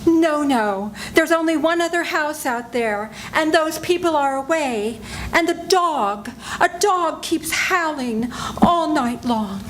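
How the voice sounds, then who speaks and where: A woman's voice: high voice, expressive, slow, slight background noise. A woman playing a frightened widow in a live old-time radio drama, speaking anxiously and on the edge of tears. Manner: anxious, tearful, pleading. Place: senior center stage.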